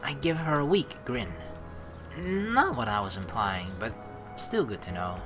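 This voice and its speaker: A man's voice: mid-range voice, lively intonation, measured pace. A man reading a game's story aloud and commenting on it in a casual, slangy way, with game music behind him. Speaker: let's play host.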